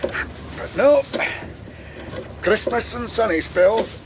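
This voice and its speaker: Folksy Scottish river boatman, unhurried and darkly funny, radio drama with clear background noise. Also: high voice, animated, exaggerated voice. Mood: wry, chatty. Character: chatty, wry working river boatman. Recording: noisy outdoor river boat sound effects.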